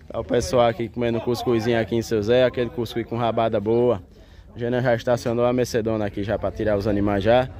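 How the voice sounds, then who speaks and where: A man's voice: medium pitch, little pitch movement, quick pace, mellow. A man with a Northeastern Brazilian accent from Pernambuco, giving chatty, folksy commentary to viewers as he films outdoors on a phone. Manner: chatty, folksy, repeats phrases. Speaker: livestock fair vlogger. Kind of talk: casual running commentary to viewers with greetings. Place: outdoors at a livestock fair. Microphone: handheld phone behind the camera.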